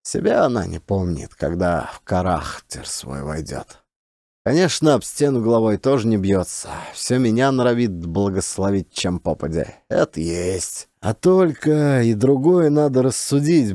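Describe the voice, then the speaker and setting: A man's voice: mid-range voice, warm tone, dry recording, clean studio sound, lightly acted. A man voicing a small, meek, long-suffering peasant forester with mild, resigned, folksy rural speech in an audiobook.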